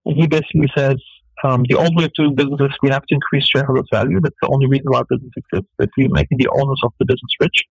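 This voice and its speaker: A man's voice: mid-range voice, fairly even intonation, gravelly, breathy edge, no room sound, rough recording. A man with a German accent, a business guest on a radio talk show, answering in an earnest, filler-filled way. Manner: earnest, fast, full of fillers. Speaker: entrepreneur guest.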